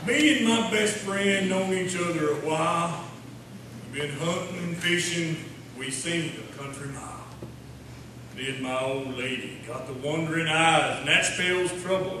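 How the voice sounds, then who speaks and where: Mournful country poet played by a man, plaintive folksy recital, distant and very echoey with noise. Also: a little high. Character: mournful redneck small-town poet reciting his verse. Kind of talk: comic poetry recital. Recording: echoey hall from far off, noisy.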